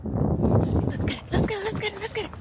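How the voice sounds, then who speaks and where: A young woman using high, sing-song baby talk to coax her dog to play. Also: a little fast, very noisy. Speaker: vlogger talking to her dog.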